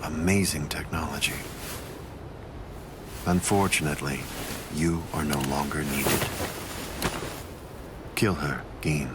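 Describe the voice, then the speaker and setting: Cold male mastermind, extremely low, courteous and detached, loud noise behind, anime game. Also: fairly even intonation. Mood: cool menace. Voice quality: very deep voice. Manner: unhurried, polite, detached. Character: calm, cold, superior male villain mastermind. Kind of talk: English anime game dub.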